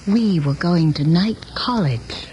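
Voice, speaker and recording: An actress playing a proud, wistful middle-aged woman in a radio drama, speaking formally and deliberately. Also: on the low side, expressive, warm tone, slight background noise. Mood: earnest, wistful. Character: proud, wistful older former student confronting her teacher. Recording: old radio drama broadcast, music behind.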